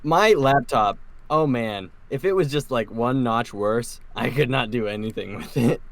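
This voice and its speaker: Male podcast co-host, mid-pitched, excitable, rambling, quick to laugh. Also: no echo. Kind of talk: casual chat.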